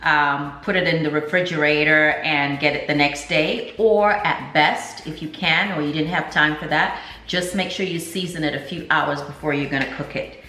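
A woman in her forties hosts a casual home cooking video and chats cheerfully to the camera as she works. Her voice sits a bit low and carries the echo of a kitchen, picked up by a camera a few feet away. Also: steady tone, normal speed, pushed and bright, a little hiss or hum. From Nigerian, Jamaican and American. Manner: chatty and upbeat, laughing often. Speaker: cooking vlogger. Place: home kitchen indoors, garden outdoors at first.

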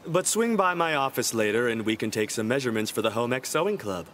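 A man's voice: medium pitch, lively intonation, fast talker, crowd noise, lightly acted. Man voicing a creepy, leering school staffer. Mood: lecherous, smooth. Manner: oily, overfriendly, insinuating. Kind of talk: visual novel game voice acting.

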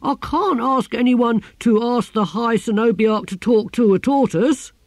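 A man's voice: high voice, very animated, measured pace, gravelly, darkish tone, no room sound, studio quality, pitched above the performer's own voice, exaggerated voice. A man voicing a simple, timid young novice gardener who asks hesitant, bewildered questions. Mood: nervous.

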